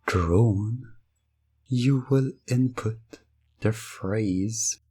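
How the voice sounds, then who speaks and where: A man delivering scripted hypnosis ASMR narration in a deliberate, commanding manner. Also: on the low side, darkish tone, breathy edge. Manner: slow, deliberate, commanding second-person delivery. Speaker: hypnosis ASMR role-play performer.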